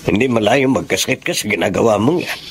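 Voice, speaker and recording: A man playing a concerned, gently admonishing middle-aged father with a gravelly voice in a Filipino radio drama. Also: on the low side, animated, fast talker, slight background noise. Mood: worried scolding.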